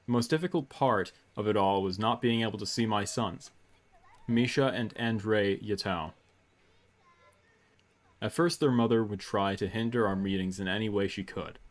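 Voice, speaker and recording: A man with a fairly low voice plays host on a gaming video. He keeps it low-key and casual, commenting as he goes and reading game text aloud, sometimes halting over tricky words.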